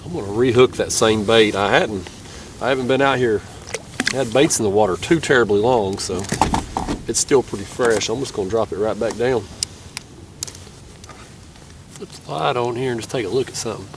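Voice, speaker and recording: A laid-back young man with a stuffy, nasal voice, talking easily in a relaxed drawl. He sits a little back from the camera mic outdoors at night, with a faint hiss of river ambience behind him. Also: medium pitch, moderate pace, pauses often, far from the mic. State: nasal from a cold. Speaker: fishing vlogger. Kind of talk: casual outdoor vlog narration.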